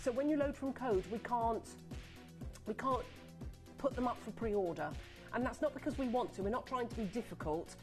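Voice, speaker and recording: A woman presenting live on a TV shopping channel, chatty and excitable, rattling off buyer names and sounding a little sniffly from a cold. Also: a little high, normal speed, over music. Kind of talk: live on-air sales pitch. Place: TV studio.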